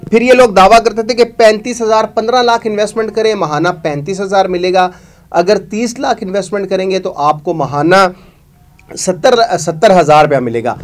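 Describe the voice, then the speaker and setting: A man's voice: sits high, a little fast. A man, hosting a news show and giving emphatic, accusatory commentary to camera in a studio. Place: studio with green screen.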